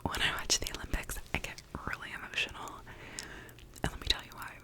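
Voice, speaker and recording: A young woman whispering in her apartment, chatting casually as she tries out makeup, with lots of "like" and the odd swear word. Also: a little fast. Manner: whispers the whole time. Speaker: ASMR vlogger. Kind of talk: whispered ASMR makeup chat.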